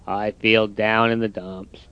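Guy doing a grumpy lazy toad, low and sulky, dull lo-fi sound. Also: fairly even intonation, unhurried, clean tone, no echo, close to an everyday voice. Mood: grumbling. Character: lazy grumbling male toad, gloomy then relieved.

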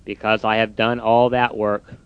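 Guy doing a grumpy lazy toad, low and sulky, dull lo-fi sound. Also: little pitch movement, moderate pace, clear, resonant tone, dry recording, natural voice. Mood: contented. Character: lazy grumbling male toad, gloomy then relieved.

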